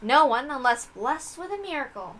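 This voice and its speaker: A woman with a high-pitched, bright voice reads aloud from a visual novel. Her delivery is scripted narration, told dramatically as a story. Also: very animated, moderate pace.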